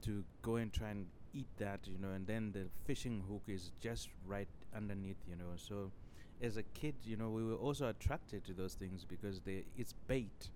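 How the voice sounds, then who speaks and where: A man's voice: on the low side, normal speed, hardly any pauses, darkish tone, slight background noise. A man telling a childhood story on his own podcast, rambling and saying "you know" constantly. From Zimbabwe. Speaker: podcast host. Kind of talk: casual solo podcast storytelling.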